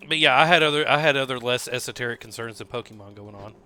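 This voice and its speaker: Thirties man, game streamer, rambling casual chat, bright tone, home desk mic. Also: mid-range voice, quick pace, soft background music. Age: 30s. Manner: rambling, lots of "like" and restarts, swears freely. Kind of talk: casual chat while gaming.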